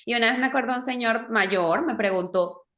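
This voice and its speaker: A woman's voice: on the high side, fairly quick, a bit bright. A Venezuelan woman on a podcast, telling anecdotes casually and laughing often. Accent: Venezuelan Spanish. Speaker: podcast co-host. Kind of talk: casual chat.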